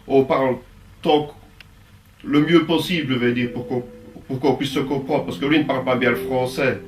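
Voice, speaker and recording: A man, the president of a village tourist office, telling an interviewer about carnival in an unhurried, relaxed way. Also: mid-range voice, noisy background. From Belgium. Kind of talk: documentary interview. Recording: roomy, distant mic with music.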